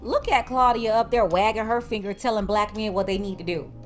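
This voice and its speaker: A woman's voice: mid-range voice, brisk, faint music behind. A woman online commentator who speaks in a mocking, sarcastic tone.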